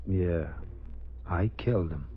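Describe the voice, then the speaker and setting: A man's voice: low voice, takes it slowly, a little hiss or hum. An actor playing a desperate, menacing armed killer in a slangy tough-guy manner, in 1950s radio drama style. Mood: cold, bitter. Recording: muffled old radio recording.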